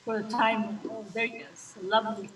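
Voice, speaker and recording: A woman's voice: on the high side, level tone, a little fast. A woman hosting an online club meeting in a friendly, easygoing way over a video call. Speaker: meeting host. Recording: echoey room, distant mic, faint noise.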